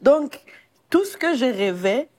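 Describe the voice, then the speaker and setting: A young woman from the Democratic Republic of Congo, sharing a personal testimony as a guest in a quiet, halting, pausing way. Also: sits high, sing-song. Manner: soft, halting, pausing often. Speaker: guest evangelist. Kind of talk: personal testimony in a faith talk show.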